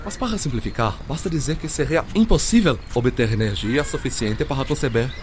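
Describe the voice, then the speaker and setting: An actor playing a learned, courteous physicist who explains patiently and formally in a radio drama. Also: male voice, medium pitch, expressive, fairly quick, a little hiss or hum, natural voice. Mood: patient explaining.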